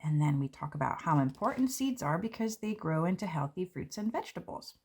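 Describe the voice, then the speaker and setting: A woman, a children's book author, reading her picture book aloud and walking through its pages in a gentle, explanatory way. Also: on the low side, very animated, a little fast, breathy edge. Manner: gentle, explanatory, with light fillers. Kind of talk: read-aloud and book walkthrough. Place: indoors at a table.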